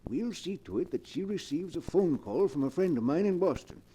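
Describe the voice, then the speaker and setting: An actor playing a fussy, scheming professor of spiritualism, pompous and precise, in 1940s radio drama. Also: male voice, on the high side, animated, a little fast, warm tone, breathy edge.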